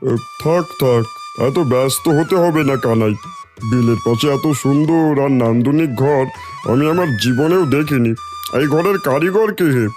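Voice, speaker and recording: Man playing a gracious merchant, higher-pitched voice. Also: moderate pace, over music, natural voice. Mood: gracious, decisive. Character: gracious wealthy foreign merchant, adult man. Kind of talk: Bengali cartoon voice acting.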